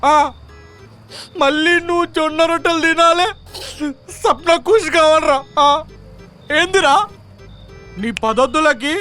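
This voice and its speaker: A woman playing a loud, melodramatic mourner in a comedy web series, wailing and rambling in Telangana Telugu. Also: high voice, measured pace, long pauses, soft background music. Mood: melodramatic mourning.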